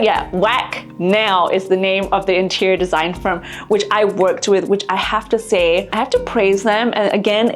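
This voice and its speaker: A woman vlog host from Singapore giving a casual, chatty house tour indoors, explaining things conversationally to camera. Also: mid-range voice. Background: background music.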